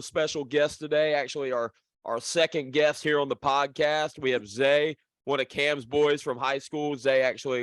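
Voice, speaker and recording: A young man hosts a podcast in an upbeat, loose way, quick to hype his guest. The recording is dry and close, with almost no room sound. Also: medium pitch, clear, resonant tone, dry recording. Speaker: podcast host. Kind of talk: casual chat.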